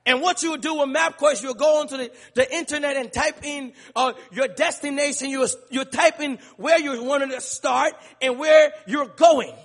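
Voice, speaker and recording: High-voiced preacher in an echoing church. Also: male voice, moderate pace, few pauses. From Liberia. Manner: rhythmic call-and-response preaching, building to shouts. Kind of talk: sermon. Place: large church sanctuary. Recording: long church reverb.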